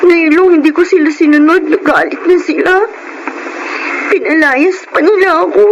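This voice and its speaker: High-voiced earnest young Filipina. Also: female voice, steady tone, normal speed, lo-fi sound, noisy background. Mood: hurt, upset. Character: shy, earnest teenage daughter, later a grown doctor. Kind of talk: Filipino radio drama acting.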